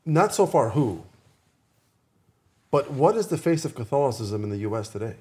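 A man with a mid-pitched voice talks in a casual podcast discussion. He sounds earnest and conversational, often doubling back on a phrase to stress it. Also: big pitch swings, normal speed, warm tone.

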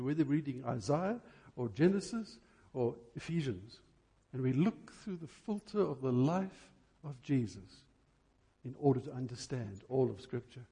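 A man preaching an impassioned church sermon, emphatic, with rhetorical questions and deliberate stresses on key words. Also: medium pitch, warm tone.

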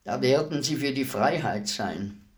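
An actor plays a state executioner in a radio drama. He is a man with a medium-pitched voice who speaks plainly and briefly. His manner is courteous and servile, quietly humble about his grim trade. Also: expressive, measured pace, lightly acted. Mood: quiet regret. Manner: deferential, plain, terse. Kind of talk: German radio play acting.

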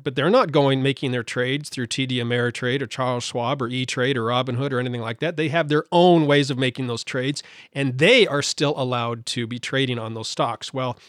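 A man's voice: a little high, a bit bright, no room sound, studio quality. A man hosting a podcast, talking indignantly with many fillers and restarts, his voice slightly worn. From United States. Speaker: podcast host. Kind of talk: solo podcast commentary.

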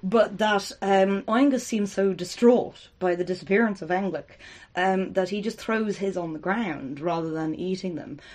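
A woman's voice: medium pitch, moderate pace, darkish tone. A woman in a casual chat, explaining old stories thoughtfully with fillers. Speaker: podcast co-host. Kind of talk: casual chat retelling myths.

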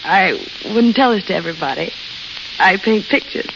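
A woman's voice: mid-range voice, expressive, moderate pace, gravelly. Dreamy young woman, crackly old radio. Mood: frank, eager. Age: young adult. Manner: plain-spoken, eager, wistful. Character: young desert waitress, dreamy, frank, restless amateur painter. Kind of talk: 1930s-40s radio drama acting. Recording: hissy, crackly, muffled old radio recording.

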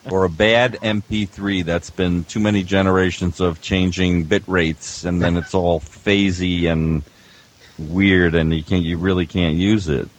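A man's voice: deep voice, steady tone, unhurried. A middle-aged man chatting casually and giving tips as a roundtable guest. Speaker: audio producer, guest panelist.

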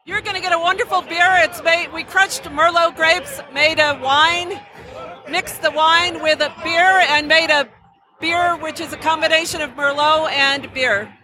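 High-voiced server over a noisy crowd. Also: fairly even intonation, sharp tone. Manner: quick, explanatory. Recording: noisy crowded festival floor.

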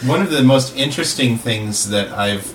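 A man hosting a casual round-table discussion, speaking in halting, thoughtful phrases on a roomy, distant recording. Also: medium pitch. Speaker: host of cast round-table. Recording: roomy, distant mic with faint noise.

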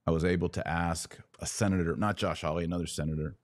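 A man's voice: sits low, steady tone, fast, no echo, clean studio sound. An American man hosting a podcast, giving emphatic political and religious commentary and explaining point by point. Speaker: podcast host.

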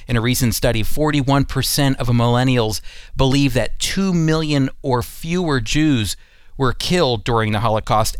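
A man's voice: medium pitch, smooth, dry recording, clean studio sound. An American man hosting a Bible teaching program, explaining earnestly and emphatically in a prepared talk. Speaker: radio Bible teaching host. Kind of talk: teaching talk with scripted segments.

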